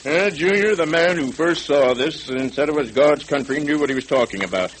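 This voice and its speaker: An actor in a vintage radio serial playing a genial police captain, explaining things in a folksy, avuncular way. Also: male voice, on the high side, close to an everyday voice. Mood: cheerful. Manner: avuncular, explanatory, hesitant pauses. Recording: crackly old radio recording.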